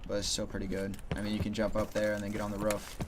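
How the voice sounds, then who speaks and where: A man walks through gameplay tips in a loose, rambling way, filling his talk with "you know" and "like". His voice sits low and stays quite flat, with little rise or fall. A clear layer of noise runs under the recording. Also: fast talker. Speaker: gaming channel host. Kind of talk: casual gameplay tips commentary.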